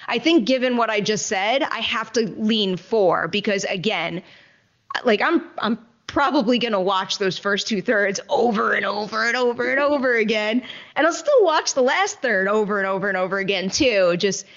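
A woman's voice: mid-range voice, lively intonation, normal speed, a bit bright, lo-fi sound. A woman hosting an entertainment show, chatting casually about movies in an emphatic, enthusiastic way and often repeating herself for stress. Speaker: entertainment news show host. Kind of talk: casual movie review chat.

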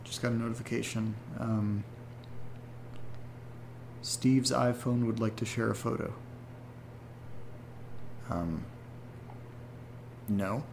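A man's voice: on the low side, steady tone, takes it slowly, creaky, long pauses, background noise. An actor playing an anxious young man who talks hesitantly and rambles, in a horror audio drama. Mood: irritated. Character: anxious young man receiving strange photos.

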